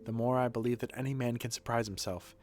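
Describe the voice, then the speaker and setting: A man reading game dialogue as an earnest, dutiful woman who runs a shelter, in a measured, formal, reflective manner. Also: mid-range voice, steady tone, lightly acted. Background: music.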